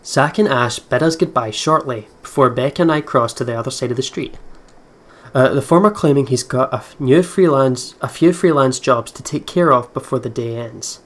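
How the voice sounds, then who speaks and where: A man hosting a gaming video, reading story text aloud and thinking out loud in a chatty way. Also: mid-range voice, normal speed.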